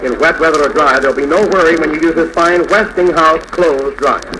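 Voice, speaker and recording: A 1940s radio recording with faint noise features a male announcer. His voice is a little high, and he reads product copy in the scripted advertising style of the time. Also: brisk, bright, forceful tone, rough recording.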